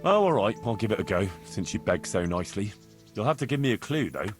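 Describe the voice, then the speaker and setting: An actor playing an easygoing, loyal young blacksmith's son in a medieval video game, casual, wry and sincere. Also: male voice, fairly low pitch, big pitch swings, fast talker, faint music behind. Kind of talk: naturalistic video game dialogue acting.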